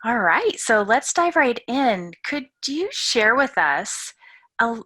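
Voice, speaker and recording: A woman's voice: on the high side, sing-song, takes it slowly, sharp tone, breathy edge. A woman, hosting an interview with introductions and questions. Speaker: interview host.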